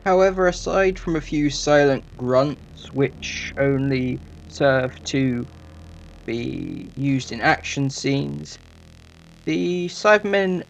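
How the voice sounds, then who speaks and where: A man giving an informal review commentary as a reviewer, in a conversational, somewhat hesitant and measured manner. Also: fairly high pitch, gravelly, highs cut off, slight background noise.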